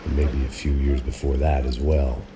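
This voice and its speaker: Weary, very deep-voiced noir antihero. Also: male voice, level tone, normal speed, very noisy. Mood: bitter, weary. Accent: American. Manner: world-weary, self-loathing, sardonic. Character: weary middle-aged hard-drinking cynical American action hero. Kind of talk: hardboiled noir game narration.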